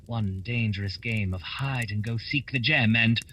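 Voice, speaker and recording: A man's voice: fairly low pitch, moderate pace, noisy background, lightly acted. A man playing a shrewd, theatrical detective in a radio play, speaking in a formal, declamatory way. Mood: dry, grand. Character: shrewd, theatrical male detective, dryly explaining.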